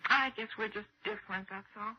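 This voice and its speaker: An American actress playing a gentle, worried and quietly persistent young wife in a 1960s radio drama, in a plaintive manner. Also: female voice, medium pitch, a little fast, crowd noise, natural voice. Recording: dull, noisy 1960s radio recording.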